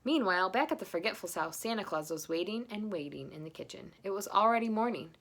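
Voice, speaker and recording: A woman reads a children's storybook aloud in a middle-pitched voice. She narrates as a read-aloud and drops in playful asides of her own. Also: expressive, quick pace, a bit bright. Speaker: storybook reader.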